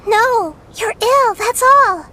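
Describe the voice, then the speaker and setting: A woman playing a gentle, wise, childlike young goddess in a video game, speaking in an earnest, thoughtful way. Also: very high-pitched, takes it slowly, slight background noise, full character voice. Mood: gentle, concerned. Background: music.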